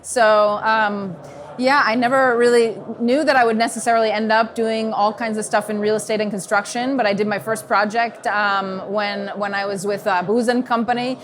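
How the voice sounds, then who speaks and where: A woman's voice: mid-range voice, fairly bright tone. A woman from New York with an American accent, talking fluently as an interview guest with plenty of "you know" fillers. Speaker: strategy consultant, guest. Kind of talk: conversational interview. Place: busy event venue in a container.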